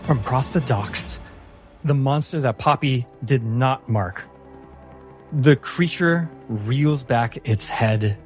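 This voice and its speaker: A man with a medium-pitched voice runs a tabletop fantasy battle as game master. He narrates slowly and dramatically, with vivid, deliberate description. Music plays clearly behind him.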